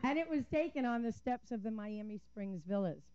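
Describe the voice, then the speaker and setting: A woman's voice: a little high, expressive, moderate pace, warm tone, smooth, dull audio. An older woman giving a prepared public comment at a council meeting, an earnest and vivid storyteller with a few fillers. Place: city council chamber. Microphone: podium microphone.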